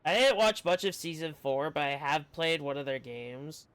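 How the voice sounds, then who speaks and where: A man chatting casually on a game livestream, rambling and halting as he talks. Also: fairly high pitch, expressive, a bit bright, clear, resonant tone, no echo. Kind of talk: casual chat while streaming a game. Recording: game music behind the voice. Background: video game music.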